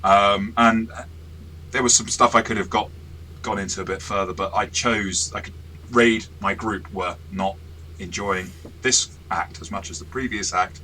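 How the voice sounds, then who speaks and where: Deep-voiced man reviewing a game module. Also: fairly even intonation, moderate pace, bright, forceful tone, slight background noise, rough recording. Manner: chatty, self-deprecating, restarts often. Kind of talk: casual review chat.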